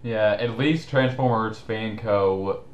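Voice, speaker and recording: A man's voice: fairly low pitch, slow. A man chatting casually as a co-commentator, mocking the person under discussion. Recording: echoey room, faint background noise.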